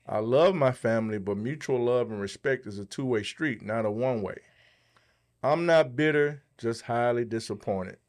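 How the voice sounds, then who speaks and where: A man's voice: mid-range voice, normal speed. A man hosting a podcast, talking conversationally and giving advice. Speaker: podcast host.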